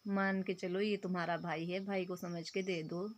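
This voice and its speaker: A hoarse woman voicing a middle-aged mother who coaxes and bargains over a price. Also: fairly low pitch, little pitch movement, normal speed, natural voice. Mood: haggling. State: hoarse throat.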